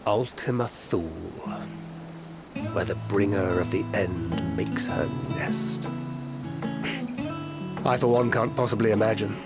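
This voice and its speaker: A man voicing a wry, loyal fighter in a fantasy game, conversational and unhurried. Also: fairly low pitch, very animated. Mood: grim resolve. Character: wry, loyal male gunblade fighter, easygoing yet determined. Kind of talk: dramatic fantasy game cutscene acting. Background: music.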